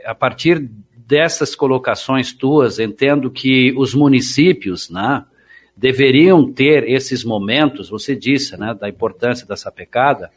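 A man, hosting an interview and posing measured, leading questions. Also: mid-range voice. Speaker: interviewer host. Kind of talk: interview conversation.

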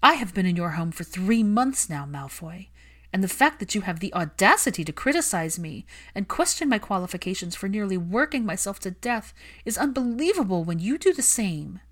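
A woman's voice: medium pitch, very animated, normal speed, pitched above the performer's own voice. A woman voicing an outspoken, emotional and indignant female caregiver in a story, with an emphatic, argumentative delivery. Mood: furious.

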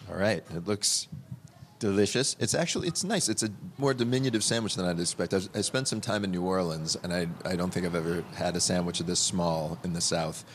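A man's voice: sits low, fairly quick, slight background noise. A man reporting on a dish he is tasting, interviewing a chef with wry jokes. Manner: wry, joking.

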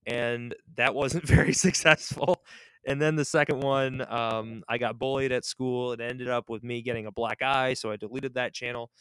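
Young guy w a michigan accent, bit southern, talks fast, lots of ums, smooth clear voice, dry close mic. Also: mid-range voice, steady tone, fairly bright tone, no echo, rough recording. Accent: Michigan with a slight Southern lean. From Michigan, United States. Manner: fast-talking, chatty, many fillers. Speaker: interview guest. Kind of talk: casual podcast chat.

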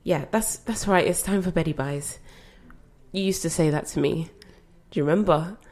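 A woman's voice: on the low side, normal speed, darkish tone, natural voice. An actress playing a young woman who looks after her grandmother, strained but trying to stay soothing. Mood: gentle. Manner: strained and exasperated, then apologetic and soothing. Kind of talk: screen drama acting.

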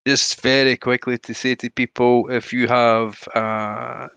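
A man's voice: mid-range voice, flat delivery, unhurried, creaky, fairly bright tone, clean tone, no room sound, dull audio. A man co-hosting a gaming podcast, friendly and enthusiastic, with a noticeable accent he mentions himself. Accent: regional British or Irish. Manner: friendly, enthusiastic gamer talk. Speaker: podcast co-host. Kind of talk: casual podcast chat.

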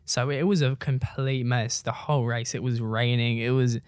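A man's voice: medium pitch, normal speed, clear, resonant tone, dry recording, studio quality. A young man from Iceland, chatting casually about running and laughing as he tells stories. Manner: relaxed, laughs while telling stories. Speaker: co-host, runner. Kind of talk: casual chat.

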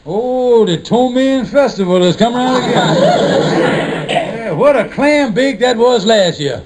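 A strikingly high-voiced, scheming lodge leader in a vintage radio sitcom. He hems and dodges in folksy, ungrammatical phrases, full of sheepish excuses. The old broadcast recording is clearly noisy. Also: sing-song, takes it slowly, heavily acted. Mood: wry, joking. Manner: hesitant, evasive, folksy ungrammatical speech. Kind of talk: 1950s radio sitcom acting.

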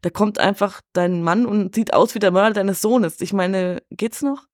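A woman's voice: mid-range voice, normal speed, darkish tone, no room sound, clean studio sound. A woman speaking in a casual film discussion, retelling things colloquially and acting out reactions. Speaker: podcast guest discussing a film.

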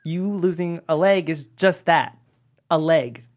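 Weary, terminally ill man, read by a woman, slightly low, grave and resolute, pleading insistently in a screenplay drama. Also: unhurried, a little mellow, close to an everyday voice. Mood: grim resolve.

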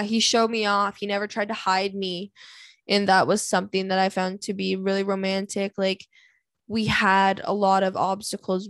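A woman's voice: mid-range voice, vocal fry, dry recording. A woman in her twenties from Canada, chatting candidly and rambling in a casual vlog, full of "like" and "um". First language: English. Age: 20s. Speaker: vlogger answering viewer questions. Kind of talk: casual chat.

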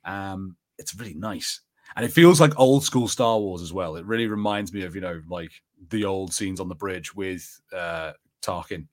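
Male, English accent, lowish pitch. Informal co-host offering fan commentary in hesitant, tentative phrases. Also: normal speed. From England. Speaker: podcast co-host reviewing a TV episode. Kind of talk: casual chat, fan commentary.

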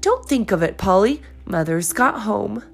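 A woman voicing a warm but firm mother who talks in a soothing, homely way. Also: medium pitch, animated, unhurried, gravelly.

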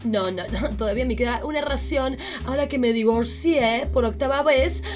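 A woman's voice: fairly high pitch, normal speed, a little mellow, close to an everyday voice. A woman playing a vain, melodramatic divorced mother in a comic radio play, effusive and theatrically whining. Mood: gushing, dramatic.

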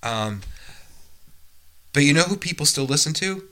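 A man's voice: on the high side, lively intonation, normal speed, bright, forceful tone. A man working as a music producer, talking casually about recording with bands, with many restarts and fillers. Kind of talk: casual conversation.

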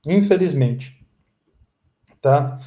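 A man in his fifties from Brazil, a guest telling stories in a relaxed, chatty way. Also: fairly high pitch, extremely slow, echoey room. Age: 50s. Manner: storytelling, lively and chatty. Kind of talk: casual interview chat.

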